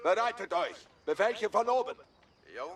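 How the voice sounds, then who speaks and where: An actor playing obedient, honourable medieval knights and monks in short scripted game lines. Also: male voice, sits high, animated, normal speed, husky, full character voice. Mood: brisk, commanding.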